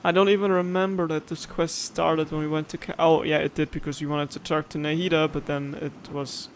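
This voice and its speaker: A woman's voice: deep voice, level tone, fairly quick, slight background noise. A woman giving casual let's-play commentary as a gaming streamer, emotive and dramatic, with loose, sweary phrasing. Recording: game music underneath.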